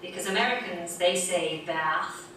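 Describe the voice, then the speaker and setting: A woman's voice: lowish voice, a little slow, recorded from a distance. Woman explaining vowels in a video lesson. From Manchester, northern England. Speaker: English teacher, guest. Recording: echoey video played in a room, heard from afar with noise.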